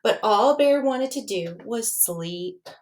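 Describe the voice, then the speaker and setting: Slow-paced woman reading a children's story aloud. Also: on the high side. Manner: expressive, unhurried, pausing to question the listeners. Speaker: teacher reading aloud to their class. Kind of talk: storybook read-aloud for children with asides and questions.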